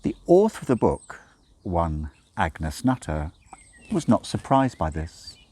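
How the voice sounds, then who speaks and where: A man's voice: sits low, wildly swooping pitch, slow, mellow, husky. A man reading a story aloud as scripted narration, with wry, dramatic emphasis. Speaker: audiobook reader.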